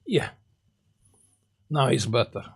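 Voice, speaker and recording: A man from Romania with a Romanian accent, speaking English as a second language and hosting a casual live stream. He pauses often on fillers while greeting viewers and answering their comments. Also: mid-range voice, sing-song, creaky, slightly rough.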